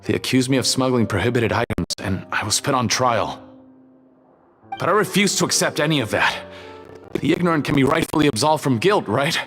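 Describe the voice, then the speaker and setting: A man's voice: mid-range voice, animated, brisk, natural voice. An actor voicing a nervous, bitter male smuggler in a video game who stammers and talks defensively. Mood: resentful. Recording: game audio with soft music behind.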